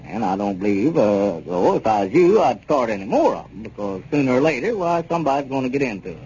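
A man's voice: mid-range voice, big pitch swings, fast talker, a little mellow, natural voice. A man playing a genial, sensible small-town neighbor who talks plainly and reassuringly in a 1930s radio comedy serial. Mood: advising. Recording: dull, noisy old 1930s radio recording.

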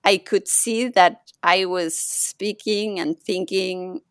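A woman from Mexico, a native Spanish speaker, talking as a podcast guest in a thoughtful, gentle way and laughing often. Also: medium pitch, fairly even intonation, takes it slowly, clear, resonant tone, no echo, studio quality. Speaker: podcast guest, yoga teacher and health coach. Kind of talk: casual interview conversation.

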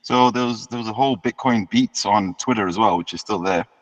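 A man in his thirties speaks with a fairly low voice, easing through his story as a guest and doubling back on words as he goes. The recording sounds dull and lo-fi, with a long reverb hanging behind him. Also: a little fast. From United Kingdom. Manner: relaxed storytelling, often repeats words as he restarts. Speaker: guest musician. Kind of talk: casual interview chat.

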